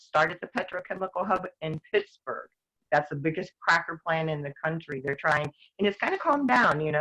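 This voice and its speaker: An older woman talking earnestly as a guest, rambling with restarts, her voice going hoarse. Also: sits low, fairly bright tone. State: losing her voice, clearing her throat. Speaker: guest activist. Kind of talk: casual talk-show discussion. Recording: dull lo-fi sound.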